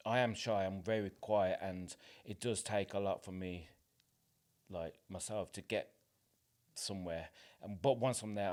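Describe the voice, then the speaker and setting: Low-voiced man, candid chat. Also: a little mellow. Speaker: podcast guest, actor. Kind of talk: casual personal interview chat.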